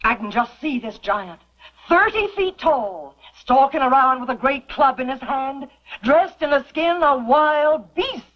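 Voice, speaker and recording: Casting: dramatic frontier busybody, animated and wide-eyed; dull old radio sound. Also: female voice, high-pitched, measured pace. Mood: awestruck. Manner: theatrical, awestruck. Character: gossipy, dramatic frontier camp wife. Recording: muffled old radio recording.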